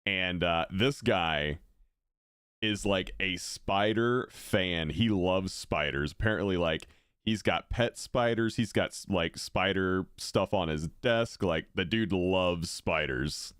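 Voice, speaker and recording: A man chatting casually on a live stream, excitable and full of fillers as he reacts to a video. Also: deep voice, slow pace, clean tone, dry recording, clean studio sound.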